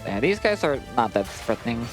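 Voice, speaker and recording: A man's voice: medium pitch, sing-song, fairly quick. A man chatting casually as a co-commentator on a video game run, in a loose, hesitant way full of "like". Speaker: co-commentator at a speedrun. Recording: game music behind the voice. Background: video game music.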